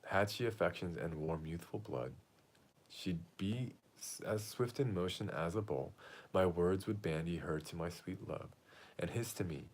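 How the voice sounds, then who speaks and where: A man's voice: low-pitched, a little slow. A man reading the part of an impatient, lovesick teenage girl from a Shakespeare play, in a declamatory, pleading way. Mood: restless longing. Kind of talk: amateur read-aloud of Shakespeare play.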